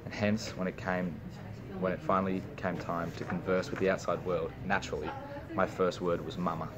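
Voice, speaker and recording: Young Australian man with a deep voice. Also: level tone, normal speed, crowd noise. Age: young adult. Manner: reads a prepared speech with small slips and restarts. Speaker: university student. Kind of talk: prepared personal speech.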